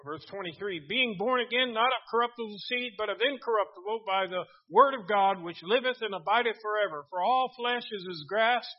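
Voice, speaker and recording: A man's voice: high voice, fairly quick, hardly any pauses. A man in his seventies preaching a sermon, earnestly reading old scripture verses aloud with frequent restarts. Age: 70s. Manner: earnest, reads King James verses aloud, many restarts. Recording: dull lo-fi recording.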